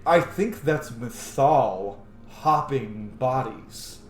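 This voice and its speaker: A man giving casual, excitable game playthrough commentary, reacting aloud and swearing freely. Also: medium pitch, drawn-out words, reverberant, a little hiss or hum. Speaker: gaming streamer.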